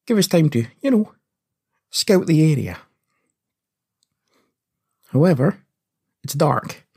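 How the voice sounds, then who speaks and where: A man telling an adventure story to children in a playful, animated style with comic understatement. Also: fairly high pitch, takes it slowly, breathy edge. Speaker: podcast host and storyteller.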